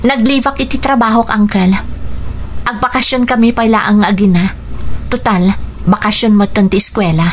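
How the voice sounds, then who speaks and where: A woman's voice: medium pitch, fairly even intonation, normal speed, a little hiss or hum, close to an everyday voice. A woman with an Ilocano accent playing a bitter, guarded single mother who fiercely protects her child, in radio drama style. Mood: guarded, resolute.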